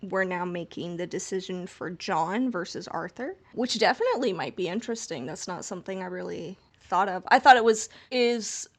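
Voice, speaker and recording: A woman's voice: on the low side, lively intonation, moderate pace, hardly any pauses. A woman podcast co-host chatting casually in a playful, informal way about something she follows as a fan.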